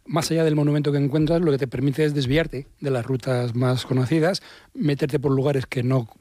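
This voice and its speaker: A man's voice: mid-range voice, steady tone, a little fast, warm tone, dry recording, clean studio sound. A man, a seasoned traveler on a radio show, speaking reflectively and with enthusiasm. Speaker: travel journalist, show regular. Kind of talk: casual radio chat.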